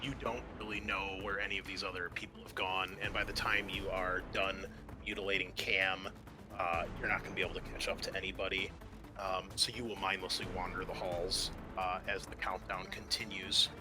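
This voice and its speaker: A man's voice: low voice, flat delivery, brisk. A man improvising narration as game master in a tabletop roleplaying game, talking casually and addressing his players directly. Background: background music.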